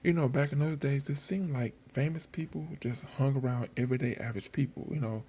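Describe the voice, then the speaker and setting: A man's voice: mid-range voice, a little fast, warm tone, breathy edge. A man giving casual commentary straight to viewers in an informal, colloquial, conversational way. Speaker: host of a boxing footage channel. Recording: faint background noise.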